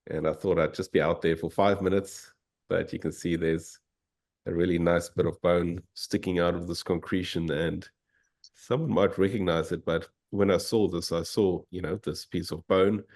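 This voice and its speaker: A South African man with a very low, deep voice gives a relaxed, keen presentation as a guest speaker. He comes through a video call. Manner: easygoing and enthusiastic. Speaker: fossil hunter and guest speaker. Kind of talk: informal slide presentation.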